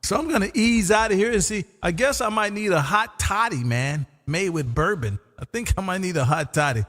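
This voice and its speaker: A man hosting a live ham radio stream, chatting casually and folksy, his voice going hoarse. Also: sits high, lively intonation, brisk, fairly bright tone, long reverb tail. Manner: laid-back, folksy, says "man" often. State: losing his voice. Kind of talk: casual on-air radio chat.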